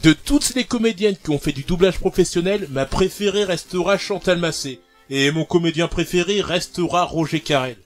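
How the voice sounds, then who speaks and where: A man reading out his answers to viewer questions in a measured way. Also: sits high, faint music behind. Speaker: fandub group member.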